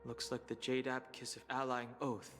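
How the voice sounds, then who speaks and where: A man playing a serious, thoughtful and resolute adviser in a video game, measured and formal. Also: mid-range voice, little pitch movement, over music, lightly acted. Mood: serious, pondering. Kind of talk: video game character acting.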